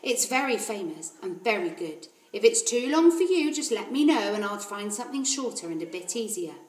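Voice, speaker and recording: A woman's voice: medium pitch, sing-song, normal speed, natural voice, low-quality recording. A woman voicing a kindly, encouraging female village librarian in a storybook reading. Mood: reassuring. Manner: gentle, encouraging. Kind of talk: storybook character voice.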